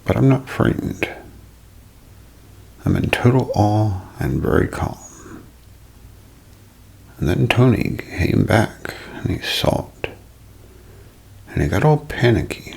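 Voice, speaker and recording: A man voicing a calm, awestruck woman eyewitness in an ASMR role play, in a breathy, deliberate half-whisper. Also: low-pitched, exaggerated voice. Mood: calm awe. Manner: slow, deliberate.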